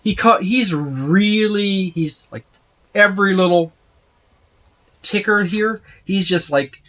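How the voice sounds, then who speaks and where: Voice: mid-range voice, takes it slowly, a bit bright. A person giving a casual, unscripted overview of a game rulebook, rambling and repeating points for emphasis as they itemize. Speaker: tabletop game book reviewer.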